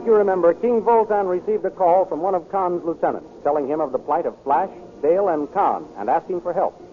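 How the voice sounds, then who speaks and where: A man's voice: fairly high pitch, a little hiss or hum. A man narrating a scripted serial as a formal, declamatory 1930s radio announcer. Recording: tinny old radio recording, faint music.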